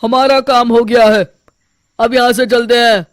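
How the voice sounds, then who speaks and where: Woman as a stern, loud bandit chief. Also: a little high, steady tone, no room sound, clean studio sound, lightly acted. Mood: commanding. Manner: brisk, commanding. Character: stern bandit chief giving orders to his men.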